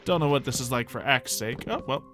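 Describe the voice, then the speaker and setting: Excitable man narrating a game. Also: medium pitch, brisk, music in the background. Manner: excitable, thinking aloud with frequent exclamations. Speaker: gaming YouTuber. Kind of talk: roleplay gameplay commentary.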